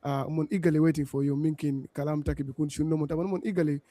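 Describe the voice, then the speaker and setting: Radio host, warm slightly high voice, dry mic, halting. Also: male voice, flat delivery, no echo. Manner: mixes languages, hesitant with many "uh"s. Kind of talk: radio interview question.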